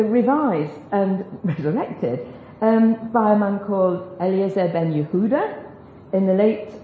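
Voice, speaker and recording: A woman teaches a group in a relaxed, chatty way, tossing in self-deprecating jokes as she goes. The recording is roomy and echoey, with a dull, lo-fi sound and a faint hiss underneath. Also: medium pitch. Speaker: speaker teaching a group about biblical Hebrew. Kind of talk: informal Bible teaching talk.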